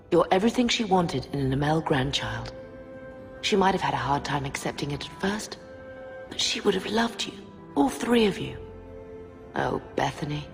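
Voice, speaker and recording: An actress playing a grieving middle-aged mother in a fantasy video game, speaking in an emotional, halting way. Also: sits low, creaky. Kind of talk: dramatic video game voice acting. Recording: long reverb, music behind. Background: music.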